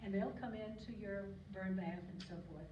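An older woman gives a relaxed slide talk from a podium, chatty and wandering, filling gaps with ums and clearing her throat now and then. She is recorded by a camera far back in an echoey lecture hall. The sound is muffled, with steady background noise. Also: mid-range voice, moderate pace. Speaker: guest speaker on local birds. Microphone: podium mic, recorded by a far camera.

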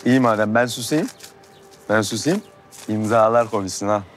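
A man's voice: on the low side, moderate pace, soft background music, close to an everyday voice. An actor in his thirties playing a brash, insistent suitor in a television drama, pushy and emphatic in a colloquial way. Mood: teasing. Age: 30s. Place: outdoors on a city street.

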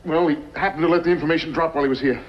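An actor playing a smug, smooth-talking, cold-blooded villain in a 1950s television western. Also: male voice, a little high, very fast, lightly acted. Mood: feigned helpfulness. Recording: muffled, noisy 1950s television soundtrack.

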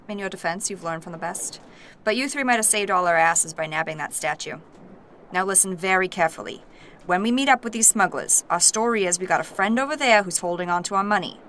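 Gruff warrior woman, woman actor, lowish voice, terse and commanding, fantasy audio drama. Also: a bit bright, a little hiss or hum, close to an everyday voice. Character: blunt, gruff, foul-mouthed wounded warrior woman. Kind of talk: naturalistic audio drama acting.

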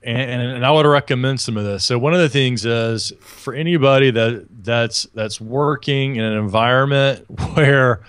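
Smooth-voiced man from Alabama. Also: mid-range voice, normal speed, hardly any pauses, a bit bright, no echo. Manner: upbeat, talkative, frequent restarts. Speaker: podcast guest. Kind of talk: casual video-call interview. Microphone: earbud headset on a video call.

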